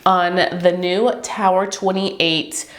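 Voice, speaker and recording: A woman's voice: lowish voice, level tone, slow pace, low-quality recording. A young woman reviewing beauty products to camera in a chatty, upbeat way, her voice stuffy and congested from a cold. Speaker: beauty vlogger.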